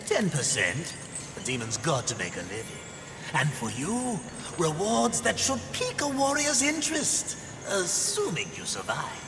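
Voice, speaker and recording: A man's voice: on the high side, huge pitch swings, measured pace, heavily acted. A male actor playing a sly, mock-polite demon merchant in a video game, theatrical and mischievous. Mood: sly, teasing. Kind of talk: video game character acting. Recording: long reverb, music behind.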